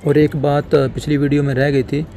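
A young man from Pakistan narrating a casual travel vlog at night outside an airport, talking calmly to viewers through a phone held at arm's length. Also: a little high, moderate pace, music in the background. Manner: calm, explanatory, talks to viewers directly. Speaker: travel vlogger. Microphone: phone camera held at arm's length.

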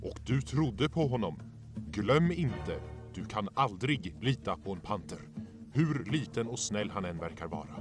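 A man's voice: lowish voice, lively intonation, close to an everyday voice. A man voicing a stern, distrustful lion king and father in a cartoon fan dub, with a commanding manner. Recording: music loud behind the voice.

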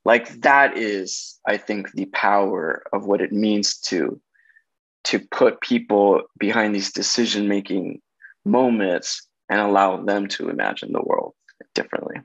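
A man's voice: fairly low pitch, measured pace, creaky, dry recording, muffled recording. A man in his thirties from Los Angeles, a casting director talking reflectively on a video call from his home kitchen, gesturing as he checks in with "right?". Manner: reflective, animated hand gestures, frequent "right?" tags. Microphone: video call, laptop camera.

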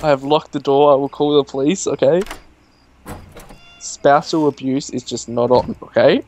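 A young man with an Australian accent, casually chatting and laughing as he plays a survival game with a friend. He talks in a relaxed way at an ordinary pitch and trades jokes with his partner. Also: moderate pace, a little hiss or hum. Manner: joking, laughs often. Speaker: gamer. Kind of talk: casual co-op gaming chat.

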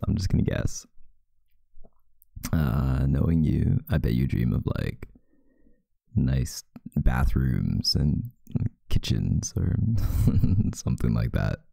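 A man playing a sleepy, teasing, affectionate boyfriend in an intimate ASMR roleplay, murmuring drowsily with husky breath and hesitant pauses, close to the mic. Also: rumbling low, animated, mellow, dry recording, exaggerated voice. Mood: drowsy, teasing. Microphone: close mic, dry.

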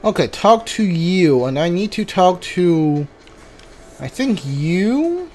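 A game streamer giving casual playthrough commentary, thinking aloud in a halting way. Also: mid-range voice, sing-song, darkish tone. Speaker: gameplay streamer. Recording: game music behind the voice. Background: video game music and sounds.

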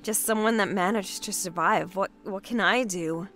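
A woman's voice: medium pitch, normal speed, a bit bright, faint music behind, close to an everyday voice. A woman playing a vulnerable, guarded survivor in an audio drama, speaking hesitantly. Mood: hesitant, subdued.

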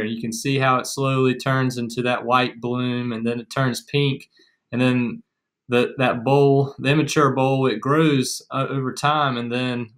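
A man's voice: mid-range voice, a bit bright. A young man with an American accent, explaining things casually as an educational co-presenter. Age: young adult. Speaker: agriculture extension agent, co-presenter. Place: small room at home, indoors.

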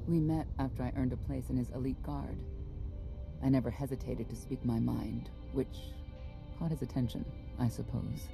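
A middle-aged woman playing a regretful, proud warrior mother in a video game, speaking in a measured, wistful way. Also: rumbling low, fairly even intonation, gravelly. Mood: reflective. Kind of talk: dramatic video game acting. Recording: music under the dialogue.

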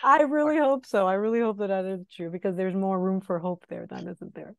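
A woman's voice: medium pitch, fairly even intonation, fairly quick, no room sound. Woman w/ miami cuban accent on a video call, earbud mic, chatty, restarts a lot, dry sound. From Miami, Cuban-American. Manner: chatty, laughs easily, restarts phrases. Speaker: guest historian. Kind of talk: academic seminar talk. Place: home room. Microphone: wired earbud mic on video call.

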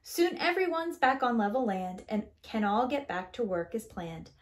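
A woman in her thirties reading a rhyming picture book aloud to young children in an expressive, deliberate storytelling manner. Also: a little high. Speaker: preschool teacher. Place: small indoor room with bookshelves.

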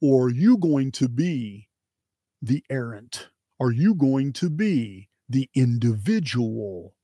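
A man's voice: mid-range voice, big pitch swings, takes it slowly, dark tone, husky, dry recording. A middle-aged man delivering an impassioned, preachy monologue to his viewers, repeating phrases for emphasis into a close studio mic. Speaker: channel host. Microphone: close studio microphone on boom arm.

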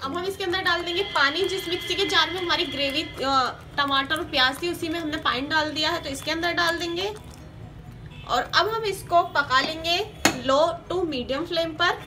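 A woman hosting a cooking channel, talking through a recipe one step at a time in a steady, teaching manner and repeating each step. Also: extremely high pitch, normal speed, music in the background. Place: home kitchen. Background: sizzling and stirring in a pan.

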